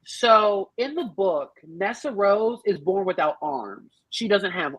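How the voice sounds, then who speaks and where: A woman explaining things emphatically and with salty language in an animated, casual group discussion on a podcast. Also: mid-range voice, slow, husky, no echo, poor audio. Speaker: podcast panellist.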